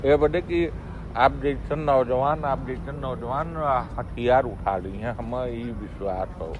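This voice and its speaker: A man's voice: mid-range voice, a little slow. A man from eastern Uttar Pradesh with a Bhojpuri-influenced accent, chatting casually and emphatically, repeating himself for stress. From eastern Uttar Pradesh, India. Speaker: college teacher and election duty worker. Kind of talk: casual chat. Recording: noisy outdoor street and vehicle sound.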